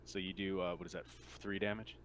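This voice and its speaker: Male game master, lowish forward voice, casual halting narration, light noise behind. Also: little pitch movement, fairly bright tone. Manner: informal, halting, thinking aloud.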